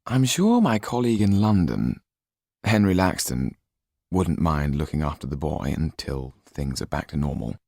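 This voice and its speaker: Male reader as courteous audiobook gentleman, thoughtful friend; husky bass with some gravel, measured phrasing. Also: sing-song, no room sound, clean studio sound, full character voice. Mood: confiding, helpful. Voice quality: very deep, husky. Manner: genteel, measured, confiding. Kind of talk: audiobook character acting.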